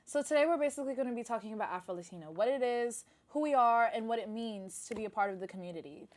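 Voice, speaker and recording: A woman's voice: fairly high pitch, fast talker. Reflective young woman co-host. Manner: reflective, a little searching, many fillers. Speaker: podcast co-host. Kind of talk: casual discussion.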